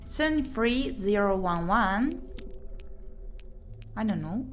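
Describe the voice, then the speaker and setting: A woman doing let's-play commentary, reading game narration aloud and muttering and exclaiming to herself in an expressive way. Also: mid-range voice, takes it slowly. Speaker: streamer playing and reading a visual novel. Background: music.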